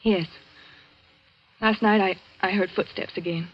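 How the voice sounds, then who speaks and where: An actress playing a frightened, high-strung woman who hesitates and pleads in a 1940s radio drama. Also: fairly even intonation, a little slow, close to an everyday voice. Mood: anxious. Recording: dull vintage radio recording.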